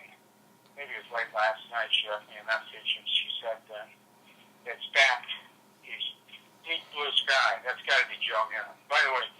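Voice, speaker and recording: An agitated middle-aged American man speaks over a tinny phone line. His voice sits at a medium pitch and is very sharp and forceful. He vents insistently, with profanity, as in a heated private call. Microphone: telephone.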